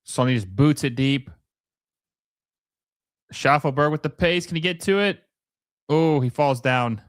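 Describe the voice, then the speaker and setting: A man's voice: a little high, measured pace, no echo, studio quality. A man hosting a live soccer watch-along, giving casual play-by-play in short, clipped phrases with fan-like reactions. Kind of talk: casual live match commentary.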